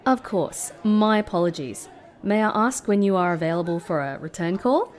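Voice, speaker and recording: An actress playing a guarded, sardonic former secret agent in an audio drama, polite and measured with a dry wit. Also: female voice, fairly low pitch, expressive, soft background music, close to an everyday voice. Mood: polite, professional. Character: guarded, sardonic woman, former secret agent turned call-centre worker.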